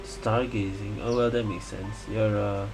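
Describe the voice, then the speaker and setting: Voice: low-pitched, flat delivery, a little slow, low-quality recording. A gaming video host giving casual let's-play commentary, hesitant and often repeating phrases while reacting. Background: game music.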